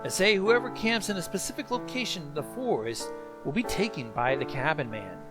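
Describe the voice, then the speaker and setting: A man's voice: on the high side, big pitch swings, a little fast, over loud music. A man hosting a reaction show, giving casual explanatory commentary on horror videos.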